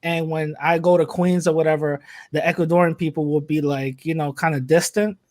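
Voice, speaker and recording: Joking New man, fairly high voice. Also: flat delivery, a little fast, darkish tone, dry recording, studio quality. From New York City, USA. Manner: joking, rambling, with fillers and laughs. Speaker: podcast host, interviewee. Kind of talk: casual interview chat.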